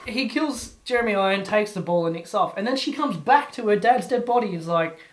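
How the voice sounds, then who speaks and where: A man's voice: high-pitched, lively intonation, a little fast. An Australian man co-hosting a podcast, telling things in a casual, informal way with some swearing. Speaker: podcast co-host. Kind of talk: casual storytelling chat. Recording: echoey room.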